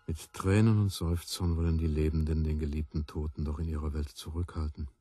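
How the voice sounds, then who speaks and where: A man's voice: a bass voice, flat delivery, warm tone, slightly rough, no echo. A man playing a grave, solemn narrator of myths in a radio play. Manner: solemn, measured.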